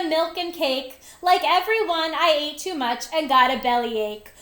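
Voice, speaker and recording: A woman's voice: high voice, a bit bright, reverberant. A woman reading a children's storybook aloud expressively, with dramatic, emphatic phrasing. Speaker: storybook reader.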